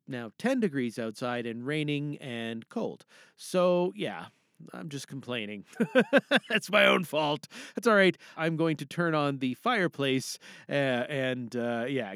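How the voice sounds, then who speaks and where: A man's voice: medium pitch, lively intonation, unhurried, a bit bright, clear, resonant tone, no room sound, studio quality. A man hosting a podcast in a casual, chatty style with theatrical announcing and self-deprecating asides. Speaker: podcast host. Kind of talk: casual chatty show introduction. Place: home recording booth.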